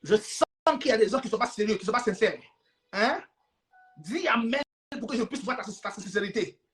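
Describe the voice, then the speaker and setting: A man's voice: sits high, a little fast, fairly bright tone. A man preaching an informal, playful teaching sermon, repeating words and phrases insistently for emphasis. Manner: playful, acts out couple dialogue.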